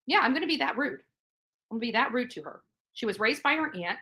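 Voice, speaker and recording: Bright, high-voiced woman on an emphatic livestream rant. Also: lively intonation, quick pace. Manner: combative, emphatic, repeats phrases for stress. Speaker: livestream host. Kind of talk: livestream commentary rant to viewers.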